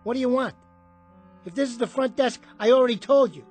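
An actor playing a bitter, washed-up small jockey in a radio drama, husky and combative, talking in streetwise slang. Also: male voice, very high voice, fairly quick, a little mellow, faint music behind. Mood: belligerent.

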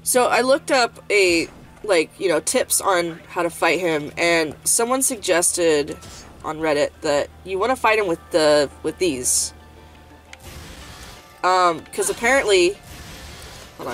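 A young woman hosting a gaming channel, chatting casually while she plays, congested and sniffly, rambling with lots of fillers and restarts. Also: on the low side. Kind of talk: casual let's-play chat. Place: home room, indoors. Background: game music.

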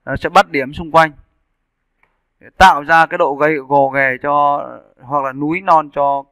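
Guy doing a casual software tutorial, bright crisp voice, step by step, dry sound. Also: fairly high pitch, steady tone, a little slow, dry recording. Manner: explains step by step, often checking with listeners. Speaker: 3D animation instructor. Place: indoors, screen-recording voice-over.

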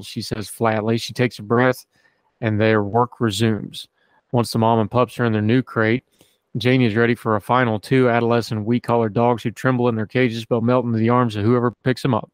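A man's voice: mid-range voice, no room sound. Man reading aloud, dry close sound. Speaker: podcast host. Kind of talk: reading an article aloud with casual asides.

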